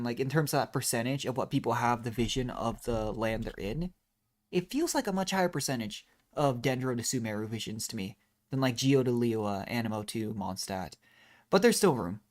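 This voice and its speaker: A game streamer giving casual live commentary in a rambling, musing way, drifting into tangents. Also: medium pitch.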